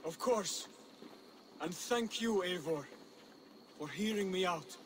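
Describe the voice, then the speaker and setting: An actor playing an earnest young assassin mentor in a video game, speaking in measured, formal, instructive phrases. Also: male voice, high voice, slight background noise. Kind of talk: video game cutscene acting.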